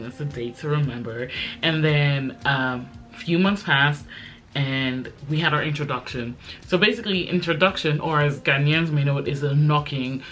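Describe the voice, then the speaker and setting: A woman's voice: low voice, a bit bright. A woman in her twenties, raised in Belgium, chatting casually and rambling to camera with lots of fillers. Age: 20s. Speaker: YouTuber. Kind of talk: casual chit-chat vlog.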